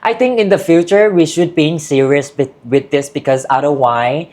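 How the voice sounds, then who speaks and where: A woman's voice: low voice, normal speed, darkish tone. A woman from Thailand with a Thai accent, speaking candidly as an interview guest in loose, broken English. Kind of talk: casual interview chat.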